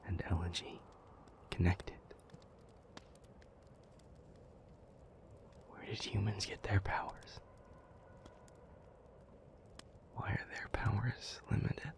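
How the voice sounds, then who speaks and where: A man playing an ancient, somber, thoughtful demon in an intimate audio roleplay, telling old myths in a breathy, hushed, halting voice with long pauses. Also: fairly low pitch. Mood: questioning, weary. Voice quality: breathy, whispery.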